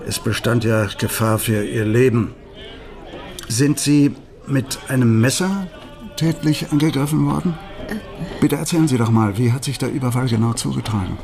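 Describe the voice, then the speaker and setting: An actor playing a polite, persistent police detective in a radio crime drama, probing in slightly hesitant officialese. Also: male voice, medium pitch, normal speed, vocal fry, a little mellow, soft background music, close to an everyday voice.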